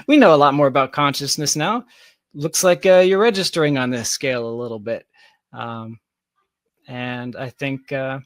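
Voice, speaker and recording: A man's voice: medium pitch, dry recording. A man with a mid-range voice speaks as an interview guest in a dry, close-sounding recording. He thinks aloud in a casual, emphatic way, working through ideas at length. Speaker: interview guest, tech company founder. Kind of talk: conversational interview, speculating at length.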